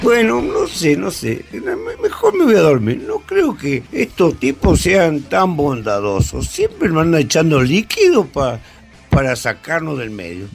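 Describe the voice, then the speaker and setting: A man's voice: on the high side, huge pitch swings, unhurried, vocal fry, soft background music, exaggerated voice. A man with an Argentine accent playing a distrustful little snail in a radio fable, worried, hesitant and stammering. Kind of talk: radio fable acting with music bed.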